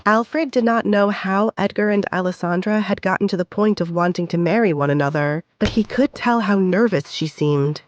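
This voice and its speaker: A woman's voice: mid-range voice, normal speed, few pauses, muffled recording, a little hiss or hum. A woman narrating a scripted audio drama as a storyteller.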